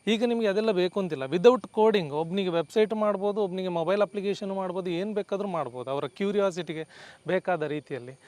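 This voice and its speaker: A man's voice: high-pitched, darkish tone. A man giving explanatory answers as an executive guest, often mixing in English words. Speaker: company CEO, guest.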